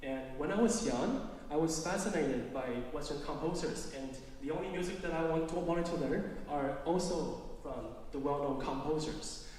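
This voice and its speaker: A young man from Taiwan with a Taiwanese accent gives a formal academic presentation as a music student, speaking haltingly and repeating phrases. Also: on the high side, a little mellow. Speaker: doctoral music student giving a lecture recital. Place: recital hall. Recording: very echoey hall, distant mic, noisy.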